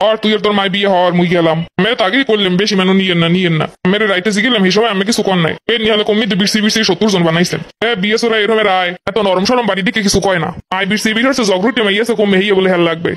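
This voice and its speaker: Man voicing a high, rapid grumbling village fellow. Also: fairly even intonation, a bit bright. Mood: exasperated, grumbling. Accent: Barisal, Bangladesh. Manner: complaining, argumentative. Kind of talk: comic cartoon dialogue.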